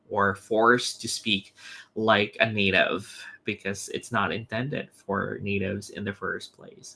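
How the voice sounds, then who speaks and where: A man's voice: mid-range voice, pushed and bright. A man teaching an English test prep webinar, explaining earnestly with fillers and restarts. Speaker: IELTS teacher. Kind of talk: webinar lesson with slides.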